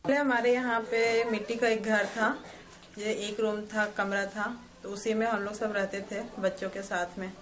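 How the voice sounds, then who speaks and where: A woman answers a reporter's questions in plain, everyday speech. She talks flat and even, and faint background noise runs underneath. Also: medium pitch, normal speed. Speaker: interviewee. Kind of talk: casual interview.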